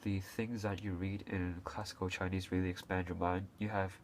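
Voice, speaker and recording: A young man with a deep, low voice speaks to camera in a loose, unscripted way. He stops and restarts often, dropping "uh" between phrases. A faint hiss sits under his voice. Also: flat delivery, moderate pace. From Hong Kong. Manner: hesitant, many fillers and restarts, occasional coughs. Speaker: video creator. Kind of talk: casual unscripted talk to camera.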